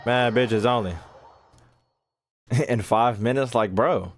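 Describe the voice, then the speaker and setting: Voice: medium pitch, slow pace. A gaming commentator, reacting in casual slang with joking exclamations. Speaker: gaming video creator reacting to gameplay. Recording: game music behind the voice.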